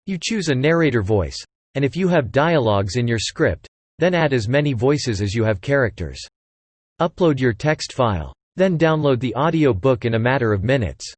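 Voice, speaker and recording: A man's voice: mid-range voice, expressive, moderate pace, dry recording. A synthetic text-to-speech male voice with an American accent, reading a scripted promotional dialogue. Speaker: promotional narrator.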